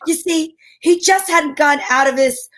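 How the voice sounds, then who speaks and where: A wisecracking female private eye, played by a woman tells her case in old-time radio fashion. Her voice is high, her delivery wry and self-assured with deadpan quips. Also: unhurried. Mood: dry, storytelling.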